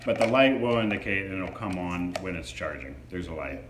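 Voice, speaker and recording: A man's voice: sits low, animated, a little fast. A man reviewing a product in a casual, hands-on demo, explaining features informally. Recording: echoey room with faint background noise.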